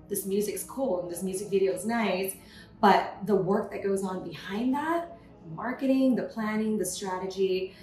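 A woman in her thirties, a guest in a podcast interview, who talks in an animated way, laughs often and acts out her own quotes. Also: mid-range voice. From United States. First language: Thai. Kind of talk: casual interview talk. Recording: echoey room, faint noise.

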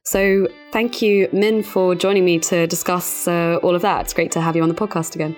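A woman hosting a podcast, discussing financial news in a measured, analytical and conversational way. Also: fairly low pitch, little pitch movement, soft background music. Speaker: podcast host and financial journalist.

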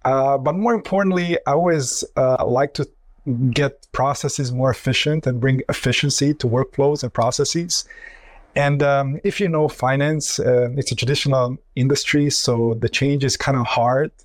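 A man with a Croatian accent answers as an executive guest on a podcast. His voice sits at a medium pitch and he speaks conversationally. The recording is dry, with no room sound. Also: normal speed, no room sound. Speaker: company CEO, podcast guest.

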